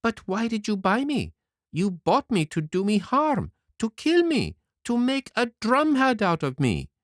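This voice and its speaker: A man voicing a mischievous wooden boy marionette, telling his tale in a lively, sing-song way. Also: high-pitched, measured pace, no echo, studio quality, heavily acted. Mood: cheeky. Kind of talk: audiobook character reading.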